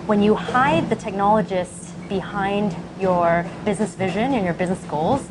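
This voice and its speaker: A woman's voice: medium pitch, normal speed. A woman from Hong Kong, a managing partner at a digital agency, chatting casually and often ending points with "right?". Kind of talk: conversational interview chat. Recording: clear background noise.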